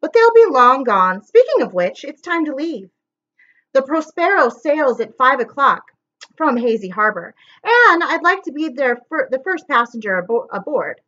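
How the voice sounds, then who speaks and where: A woman's voice: sits high, very animated, pushed and bright, lo-fi sound, heavily acted. A woman reading aloud and voicing a scheming, sneering adult male villain with a mocking, menacing manner. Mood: smug. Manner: mocking, menacing, drawn-out words.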